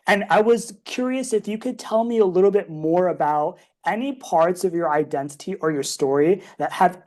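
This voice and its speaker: A woman hosting a podcast, welcoming a guest and asking measured, friendly interview questions. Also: lowish voice, warm tone. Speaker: podcast host.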